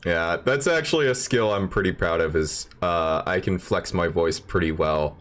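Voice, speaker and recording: A man with a very low voice hosts a relaxed chat. He rambles, restarts and pokes fun at himself as he goes. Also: normal speed. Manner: laid-back, rambling, self-deprecating. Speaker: podcast host. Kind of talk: casual chat.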